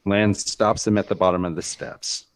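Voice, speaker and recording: A man reading screenplay stage directions as narrator, in a measured, deliberate manner. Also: deep voice, fairly even intonation, vocal fry. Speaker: narrator of a script read.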